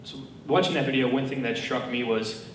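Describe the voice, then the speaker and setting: A man hosting an on-stage interview, asking questions in a quick, friendly way. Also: mid-range voice. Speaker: interviewer, event host. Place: event hall. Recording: echoey noisy hall from far off.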